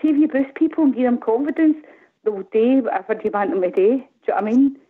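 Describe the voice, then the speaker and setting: A middle-aged woman with a Glaswegian accent calling in, rambling and animated, often asking "do you know what I mean". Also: fairly high pitch, fast, husky. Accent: Glaswegian Scottish. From Glasgow, Scotland. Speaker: caller. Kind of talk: casual phone-in chat. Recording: tinny phone line.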